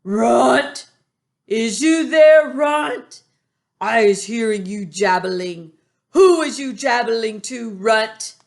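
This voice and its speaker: A woman reading a storybook aloud, voicing a greedy, brutish male giant who shouts and bullies in a slow, heavy voice. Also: medium pitch, lightly acted. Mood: booming menace.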